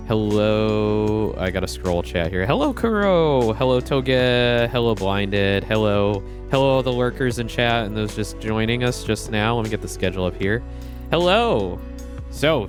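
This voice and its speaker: A friendly man with a fairly low voice talks casually to his stream audience, greeting viewers and explaining an old game setup. Soft music plays faintly behind him. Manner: friendly, chatty, greets chat by name.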